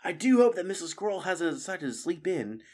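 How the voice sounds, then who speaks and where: A man voicing an eager young male mouse hero, with a squeaky way of speaking. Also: sing-song, a little fast, a little mellow. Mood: hopeful.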